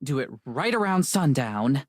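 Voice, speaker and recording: Eager young video maker. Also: female voice, big pitch swings, unhurried, darkish tone, dry recording, clean studio sound, heavily acted. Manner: enthusiastic, pitching ideas. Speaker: visual novel character. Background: game music.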